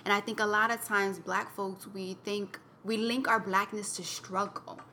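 A woman's voice: medium pitch, steady tone, normal speed, bright, forceful tone, a little hiss or hum. A young woman co-hosting a podcast, sharing her own story in a candid, reflective way, with lots of fillers and "like". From Connecticut, US. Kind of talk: personal storytelling in a casual chat.

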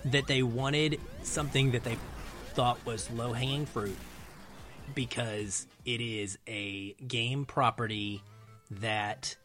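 A man's voice: medium pitch, fairly even intonation, slow, fairly bright tone, faint music behind. A middle-aged man speaking as a podcast guest in a casual discussion about films.

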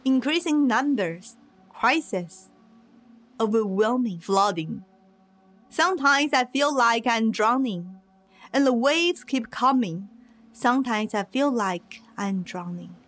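Woman as an emphatic, slow, higher-voiced poet. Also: animated, a bit bright, soft background music, full character voice. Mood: anguished. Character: plaintive female inhabitant of a migration corridor. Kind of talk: poetic radio play recitation.

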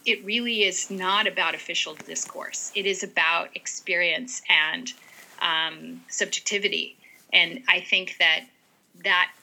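A woman's voice: on the low side, creaky, bright, forceful tone, rough recording. A woman author and ethnographer, a native Western Armenian speaker, answering interview questions thoughtfully with many fillers and restarts.

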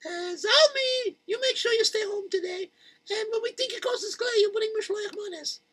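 A man's voice: very high-pitched, moderate pace, fairly bright tone, dry recording, voice pushed up. A man doing a wailing, frantic mother. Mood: panicky. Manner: wailing, sobbing. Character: frantic fretting mother, wailing, Yiddish-peppered.

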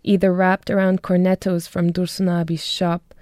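A woman's voice: lowish voice, little pitch movement, normal speed, darkish tone, no echo, clean studio sound. A young woman from Germany reading her poetry and talking thoughtfully about her life, with light laughs between her words. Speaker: poet and guest. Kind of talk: poetry reading and interview answers.

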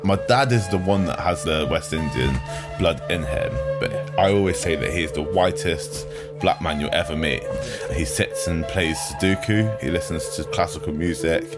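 A man's voice: low-pitched, lively intonation, moderate pace. A man from England telling his family story in an easygoing, wry way. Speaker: interviewee. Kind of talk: personal storytelling. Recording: interview over music.

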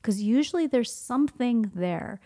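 A woman's voice: on the high side, lively intonation, moderate pace, warm tone. A woman speaking as a guest in a podcast conversation, reflective and posing questions that she then answers herself. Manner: reflective, poses and answers her own questions.

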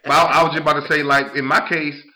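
A man from Louisiana chatting casually on a podcast, haltingly repeating his opening words as he makes a point. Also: fairly high pitch, little pitch movement, bright, forceful tone, low-quality recording. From Louisiana, United States.

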